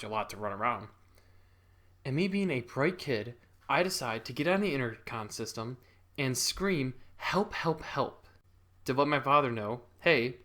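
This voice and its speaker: Young man, casual storytelling, rambling, chuckles, mid-pitched voice. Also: a bit bright. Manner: rambling, self-interrupting, chuckles often.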